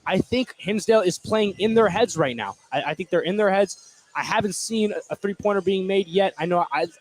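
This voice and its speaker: High-pitched man giving informal basketball analysis. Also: a little fast. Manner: informal, opinionated. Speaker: color commentator. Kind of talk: conversational live basketball analysis.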